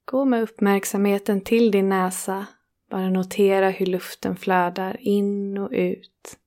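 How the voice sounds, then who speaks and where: Woman, meditation guide. Creaky, very even delivery, soothing and unhurried, with generous pauses. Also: medium pitch. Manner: slow, soothing, with long pauses between phrases. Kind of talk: guided relaxation meditation, yoga nidra.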